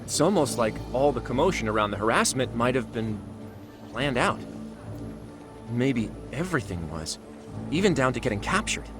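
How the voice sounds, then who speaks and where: A man's voice: medium pitch, big pitch swings, moderate pace, lightly acted. An actor playing a man who briefs his detective partner on a case in a game cutscene, in an explanatory tone. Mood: explaining. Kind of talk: video game cutscene voice acting. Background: background music.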